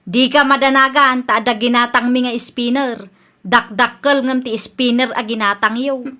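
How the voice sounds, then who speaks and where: A brash, quarrelsome young daughter, acted by a woman in an Ilocano comedy radio drama. Her voice is high and bright, with an Ilocano Filipino accent and a proud, neighborly feuding manner. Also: steady tone, moderate pace. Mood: sneering, defiant.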